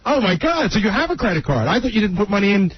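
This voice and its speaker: A man's voice: high-pitched, sing-song, quick pace, husky. A man in unscripted radio banter, explaining his complaint insistently. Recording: dull radio recording, faint noise.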